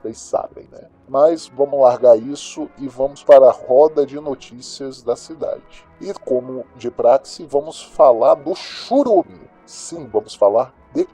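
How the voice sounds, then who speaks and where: Guy playing cynical brazilian radio host doing crime gossip, mellow kinda rough voice, slangy, audio drama with music behind. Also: medium pitch, a little slow, few pauses. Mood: bitter, sarcastic. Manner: colloquial, sardonic, slangy. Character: cynical, gossipy male host of a small-town crime news show.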